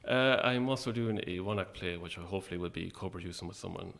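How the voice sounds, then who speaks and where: Very deep, gravelly man. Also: fast, fairly bright tone. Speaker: playwright and actor, interview guest. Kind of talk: casual interview chat about own work.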